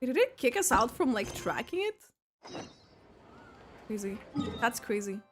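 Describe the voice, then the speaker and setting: Female gameplay commentator, expressive and playful, high register, casual reactions, faint game music behind. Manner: playful, expressive, halting asides. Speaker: game streamer. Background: soft game music.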